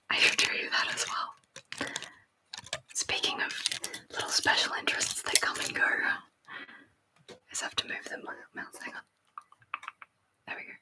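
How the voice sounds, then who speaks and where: A woman whispering into a close mic at her home desk, rambling chattily to a live stream audience. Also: poor audio. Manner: soft rambling whisper, chatty and self-deprecating. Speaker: ASMR streamer. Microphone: sensitive close mic.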